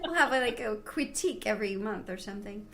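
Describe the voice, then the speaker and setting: A woman's voice: medium pitch, animated, fast, fairly bright tone. A woman hosting a podcast in casual chat, effusive and informal in the way she talks. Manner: effusive, informal, frequent "like" and "awesome". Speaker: podcast host.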